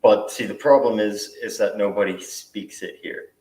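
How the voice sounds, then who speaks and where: A male host chatting casually in a relaxed, thoughtful way, with plenty of fillers. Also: fairly low pitch, moderate pace, distant mic. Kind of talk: casual video call chat. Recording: echoey room, away from mic.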